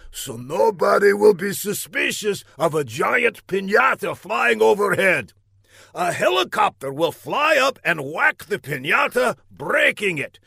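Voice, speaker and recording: Comic audiobook villain: gravelly, piercing, theatrical megalomaniac, gleeful and easily exasperated. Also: male voice, sits high, pushed and bright, dry recording, studio quality, voice pushed up, exaggerated voice. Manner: theatrical, emphatic, exclaiming grandly.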